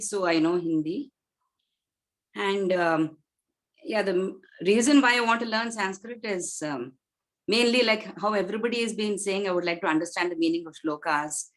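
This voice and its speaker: A woman in her sixties from India, a student introducing herself on a video call in a measured, polite way. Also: mid-range voice, low-quality recording.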